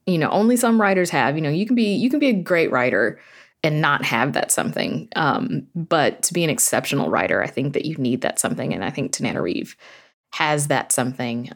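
A woman chatting informally as an interview guest, telling anecdotes in a conversational way with quoted asides. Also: deep voice. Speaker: podcast guest, horror fiction writer and audio drama creator.

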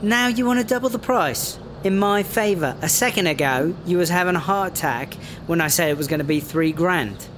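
A man plays a wary British rapper with a sly streak in a comedic audio drama. The voice sits a little high for a man. Faint ambience runs behind it. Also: brisk, hardly any pauses, background noise, close to an everyday voice. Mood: amused, suspicious.

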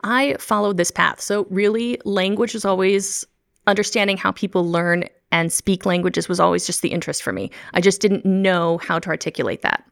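A woman's voice: mid-range voice, fairly even intonation, normal speed, vocal fry. A middle-aged woman, chatting in an interview as a language researcher, laughing often and restarting her sentences. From Midwest United States. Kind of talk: casual interview talk.

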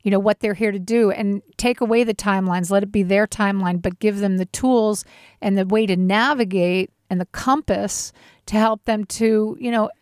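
A woman's voice: mid-range voice, fairly even intonation, moderate pace, a little mellow, no room sound, studio quality. A woman in her fifties, a guest who founded a nonprofit, speaking in a heartfelt, flowing, inspirational way. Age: 50s. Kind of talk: conversational interview.

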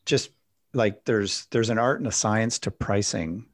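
A man's voice: on the low side, unhurried. A man hosting a casual interview, asking long, rambling questions full of fillers. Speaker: interview host.

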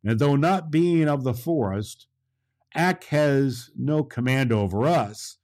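A man's voice: medium pitch, slow, a little mellow, pitched above the performer's own voice, lightly acted. A man voicing a kindly little flower sprite from a storybook, speaking in a stately, old-fashioned, reassuring way. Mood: reassuring, solemn.

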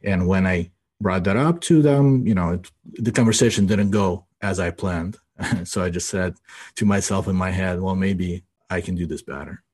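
A man's voice: low-pitched, normal speed, a little mellow, breathy edge. A man in his forties from Ukraine, a guest in a casual podcast interview, rambling and tagging his sentences with "you know" and "right?". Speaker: guest, IT business owner.

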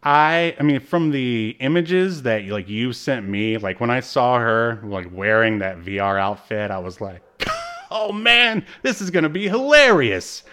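A man hosting a casual pop-culture review chat, speaking in a halting, self-deprecating way. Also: medium pitch, huge pitch swings, pushed and bright. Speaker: podcast host.

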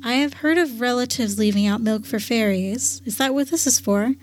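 A woman's voice: a little high, normal speed. A woman playing a tabletop game, politely asking questions as her character and narrating her actions. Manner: polite, inquisitive, shifts between narration and in-character speech. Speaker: player.

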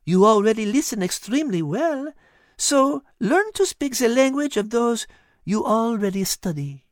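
A woman's voice: fairly high pitch, expressive, measured pace, dry recording, studio quality, voice pushed up. A woman voicing a wise, polite female cat who speaks with a French accent, reading a storybook. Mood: coaxing. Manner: French-accented, gently teaching.

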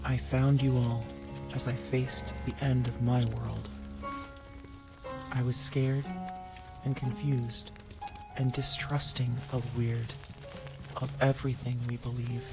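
A woman voicing a solemn inner voice that urges defiance, speaking in slow, measured phrases. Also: level tone, heavily acted. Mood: defiant. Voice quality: low and distant, spoken slowly. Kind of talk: audio drama acting. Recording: heavy music bed, distant voice.

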